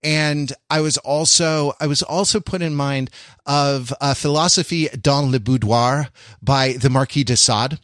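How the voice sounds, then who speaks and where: Halting, erudite middle-aged man with a dry sound. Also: mid-range voice, fairly bright tone, no room sound. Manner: halting, digressive, searching for words; erudite, wry. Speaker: podcast host. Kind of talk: casual intellectual chat.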